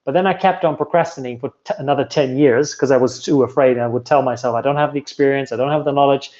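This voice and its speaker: A man's voice: mid-range voice, fast talker, darkish tone. A man in his thirties from Germany with a German accent, candidly telling an audience his life story with frequent restarts. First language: German or Italian. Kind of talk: personal story talk to an audience. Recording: dull lo-fi sound.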